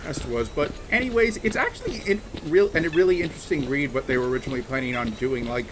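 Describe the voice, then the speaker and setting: A man chatting casually on a game livestream, talking in a halting, rambling way with many restarts and fillers. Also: a little high, animated, background noise. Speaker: streamer. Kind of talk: casual livestream chat while gaming.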